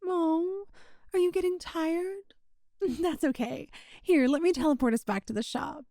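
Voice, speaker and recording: Woman acting a high-pitched, lovestruck yandere witch. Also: measured pace, dark tone, no echo. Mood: doting, coy. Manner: cutesy, coy, breezily matter-of-fact about sinister things. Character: lovestruck possessive yandere witch, sweetly menacing and clingy. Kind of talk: ASMR roleplay acting addressed to the listener.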